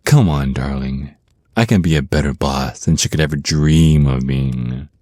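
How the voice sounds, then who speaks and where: Man voicing a very deep, seductive vampire naga. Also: mellow, no echo. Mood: coaxing, commanding. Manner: slow teasing menace, pet names, breathy pauses. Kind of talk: intimate ASMR roleplay acting.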